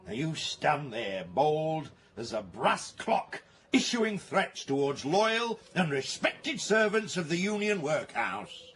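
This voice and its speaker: An actor playing a stern, pompous workhouse master, formal and exasperated, in a radio drama. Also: male voice, a little high, sing-song, slow, fairly bright tone, slightly rough, heavily acted. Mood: indignant.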